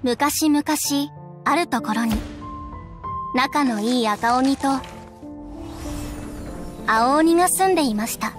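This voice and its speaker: A woman playing a calm storyteller, narrating a children's folktale in a video game. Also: high voice, takes it slowly, long pauses, music in the background. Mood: gentle narrating.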